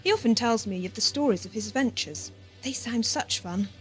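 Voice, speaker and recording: A woman's voice: fairly high pitch, animated, fairly quick, lightly acted, low-quality recording. A woman playing a naive, devoted, childlike young woman in an audio drama, with a hesitant girlish manner. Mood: bright, earnest. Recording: amateur 1980s audio drama with music.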